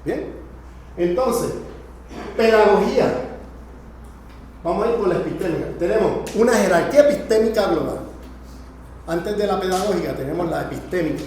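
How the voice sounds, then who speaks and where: A man's voice: high-pitched, animated, moderate pace, long pauses, a little mellow. A man giving an improvised academic lecture, emphatic and didactic, checking in with short tag questions. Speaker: lecturer teaching a class. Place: indoor lecture room. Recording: very echoey room, faint noise.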